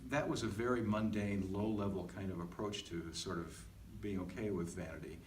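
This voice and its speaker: Middle aged guy on a podcast, lowish voice, kinda halting, far from the mic in a roomy space. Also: steady tone. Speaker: podcast co-host. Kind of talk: casual reflective podcast conversation. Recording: echoey, distant mic with faint noise.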